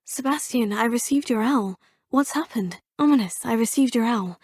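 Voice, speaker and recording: A proper young British student witch: polite, composed, slightly high, a bit breathy, dry studio read. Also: moderate pace, a little mellow, no echo. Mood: earnest. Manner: polite, proper and well-spoken. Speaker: player character, student. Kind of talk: game dialogue voice clips. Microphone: close studio mic, dry.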